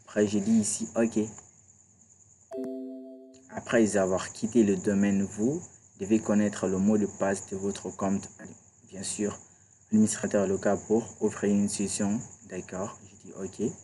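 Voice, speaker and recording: A man's voice: lowish voice, level tone, a little slow, mellow, a little hiss or hum, rough recording. A man teaches a screen-recorded computer tutorial, chatty and repeating small checks with his viewers. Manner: chatty, repeats "d'accord" and "voilà". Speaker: IT tutorial instructor.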